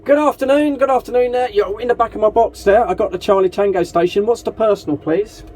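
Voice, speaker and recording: Mellow-toned Englishman chatting on CB radio. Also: high voice, fast talker. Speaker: amateur CB radio operator running a group net. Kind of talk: casual CB radio chat with call signs and jargon. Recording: noisy CB radio transmission with hiss.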